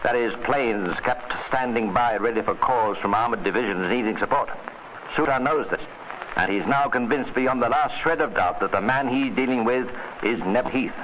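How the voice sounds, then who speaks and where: A man narrating a vintage radio drama in a suspenseful, deliberate, theatrical style. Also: medium pitch. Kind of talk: dramatic scripted narration, present tense. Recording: dull, noisy vintage radio recording.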